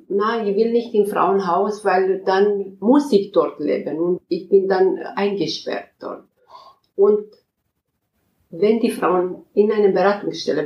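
A woman counselor answering interview questions in a halting way, often searching for words and restarting sentences. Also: lowish voice, roomy sound, dull audio. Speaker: counselor at a migrant women's advice center.

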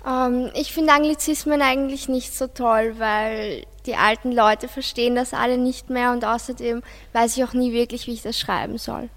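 A woman's voice: a little high, little pitch movement, moderate pace, few pauses. A female teenage student answering informally in a radio interview. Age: teens. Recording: long hall-like reverb.

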